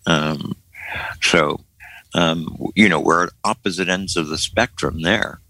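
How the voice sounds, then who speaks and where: Low, bright-toned older man, halting talk. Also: creaky, low-quality recording. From Florida. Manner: halting, repeats words at starts of phrases. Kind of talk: casual group discussion.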